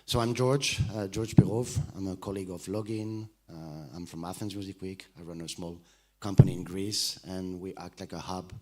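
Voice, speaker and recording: A man's voice: low voice, steady tone, normal speed, mellow. A French man moderating a festival panel, hesitant and full of fillers, speaking into a stage mic. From France. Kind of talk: panel discussion.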